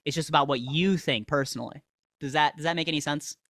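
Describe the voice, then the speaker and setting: A man's voice: on the high side, fast talker, a bit bright, dry recording, studio quality. A man hosting a livestream, debating a caller with pressing, pointed questions. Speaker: livestream host.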